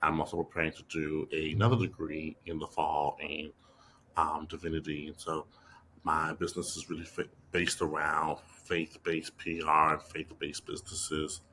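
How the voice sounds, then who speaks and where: A young man from the Bahamas, a PR agency president, answering interview questions in a measured, reflective way with frequent fillers. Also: rumbling low, level tone, pushed and bright, slight background noise.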